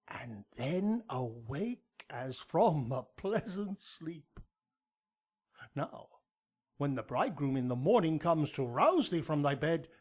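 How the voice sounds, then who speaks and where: Solemn, slow-speaking friar. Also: male voice, mid-range voice. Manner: measured, grave, deliberate. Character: solemn, fatherly friar counselling a young woman. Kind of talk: Shakespearean radio drama acting.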